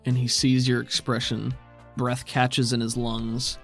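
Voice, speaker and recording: A man's voice: medium pitch, completely flat delivery, unhurried, faint music behind. A man running a tabletop role-playing game as game master, describing scenes in detail and laughing easily.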